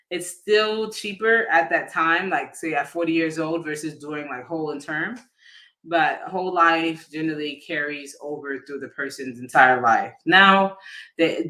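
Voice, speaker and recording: A man with a fairly high, very bright voice explains things in an informal live-talk style. His delivery is casual and halting, with sentences often restarted and reworded as he goes. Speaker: insurance agent giving a financial education talk.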